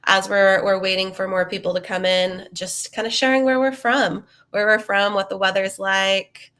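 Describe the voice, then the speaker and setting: A woman's voice: medium pitch, fairly even intonation, normal speed, a bit bright. A young woman, a bit sniffly from a cold, hosting a live webinar in a chatty, upbeat way with many ums and uhs. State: sniffly, getting over a cold. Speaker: webinar host.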